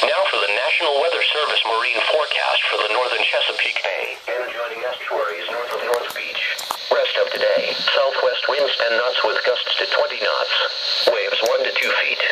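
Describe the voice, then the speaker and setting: Synthetic male weather radio announcer, heavy noise. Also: medium pitch. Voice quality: synthetic text-to-speech voice. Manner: formal, impersonal, clipped phrasing. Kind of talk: scripted weather forecast announcement. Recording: very noisy weather radio broadcast. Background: loud radio static.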